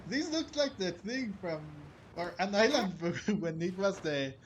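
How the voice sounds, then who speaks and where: Man, fairly high voice, slow halting pace, casual gaming commentary, repetitive phrasing. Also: sing-song, slight background noise, low-quality recording. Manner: halting, repeats himself, chuckly. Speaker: gaming video host. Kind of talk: casual let's play commentary.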